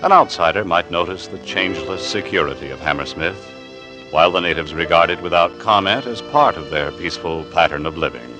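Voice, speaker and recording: Deep, measured male radio drama narrator. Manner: measured, formal. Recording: vintage radio broadcast with music behind. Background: music.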